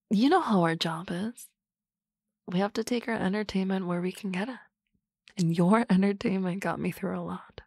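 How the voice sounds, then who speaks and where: A woman playing a teasing, confident office boss in a soft, close, whispered ASMR roleplay. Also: mid-range voice, husky, dry recording.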